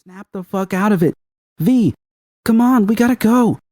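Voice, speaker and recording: A man's voice: high-pitched, moderate pace, warm tone, no room sound, voice pushed up, close to an everyday voice. A man voicing a fiery young woman in an audiobook, urgent and exclaiming. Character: fiery, frightened young woman, bluntly scolding. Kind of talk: audiobook character acting.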